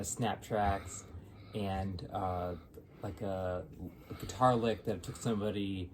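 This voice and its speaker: A man from near Chicago co-hosting a casual album review, hesitant, with many pauses and fillers. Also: lowish voice, darkish tone, slight background noise. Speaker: music review co-host.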